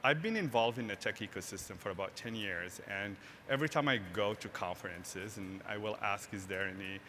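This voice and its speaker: Low-voiced man in an echoey hall. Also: brisk, sharp tone. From Morocco. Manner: earnest, frequent "right?" tag questions. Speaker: tech nonprofit founder, guest. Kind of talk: stage interview. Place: large hall, live event stage. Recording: echoey hall, faint noise.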